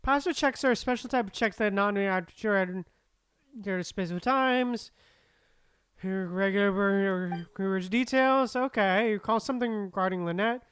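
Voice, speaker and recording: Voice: medium pitch, measured pace, a bit bright, smooth, dry recording. A streamer giving casual, laid-back let's-play commentary and reading game text aloud. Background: game music.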